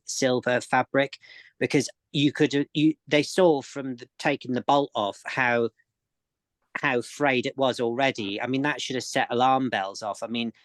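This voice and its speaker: A man's voice: medium pitch, no echo. A man, a sewing expert guest, explaining techniques in casual chat, often repeating words as he goes.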